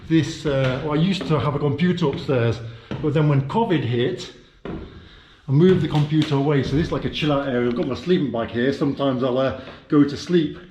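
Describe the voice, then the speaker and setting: A man's voice: medium pitch, a little fast, a little mellow, slight background noise. Rambling British man in an echoey room. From United Kingdom. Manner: rambling, many fillers and restarts. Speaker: vlogger. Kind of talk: casual walking-tour vlog. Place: his own indoor office and studio. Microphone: handheld camera mic.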